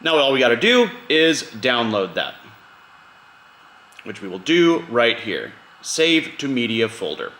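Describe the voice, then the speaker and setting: A man's voice: mid-range voice, big pitch swings, unhurried, echoey room, noisy background. A man hosting a casual step-by-step tutorial in an informal, conversational way. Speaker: video host giving a drone tutorial.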